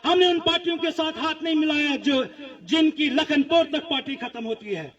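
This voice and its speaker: A man's voice: extremely high pitch, normal speed, a bit bright. A man gives a political speech at a public rally, speaking in emphatic, repetitive phrases. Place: public address before a gathering. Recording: dull lo-fi audio, crowd sounds.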